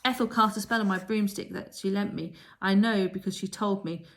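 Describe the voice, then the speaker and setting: A woman's voice: mid-range voice, steady tone, fairly quick, slight background noise, close to an everyday voice. A woman voicing a naive, earnest young schoolgirl witch with an artless manner, in a children's storybook. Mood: earnest, confiding.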